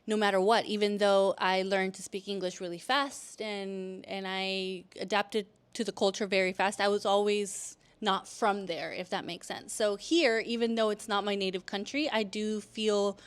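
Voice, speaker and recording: Woman in her 30s vlogging, smooth clear voice, chatty and rambly, lots of likes and restarts. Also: mid-range voice, steady tone, normal speed, few pauses. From El Salvador, raised in California. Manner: chatty, frequent fillers and restarts. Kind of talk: casual talking-to-camera vlog.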